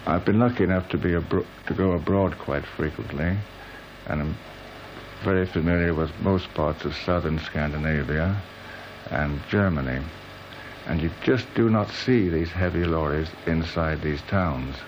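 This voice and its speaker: A man with an extremely deep voice gives a personal account. There is faint noise in the background of the recording. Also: normal speed, low-quality recording. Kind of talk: spoken personal testimony.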